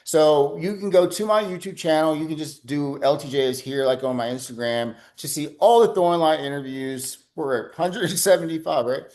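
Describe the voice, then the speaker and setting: A man's voice: on the high side, normal speed, few pauses, a little mellow. A man hosting an interview podcast, talking loosely and chattily with plenty of fillers. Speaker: podcast host. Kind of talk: casual interview.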